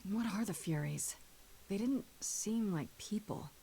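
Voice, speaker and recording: A woman's voice: mid-range voice, expressive, unhurried, vocal fry, warm tone, a little hiss or hum. An actress playing a distraught, grieving young woman in a dramatic video game, with an emotional, pleading manner. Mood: subdued, questioning.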